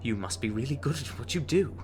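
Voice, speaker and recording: A man's voice: mid-range voice, animated, a little fast, lightly acted. A man playing a charming, teasing, smitten young bard in an intimate ASMR romance roleplay, coaxing the listener. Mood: sheepish, warm. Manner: teasing, coaxing, with soft chuckles and hesitant asides.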